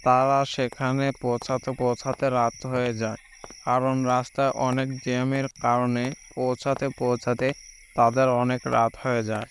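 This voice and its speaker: A man playing an old village grandfather who tells ghost stories in a cartoon, in an unhurried way. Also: medium pitch, close to an everyday voice. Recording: noisy, with night crickets behind.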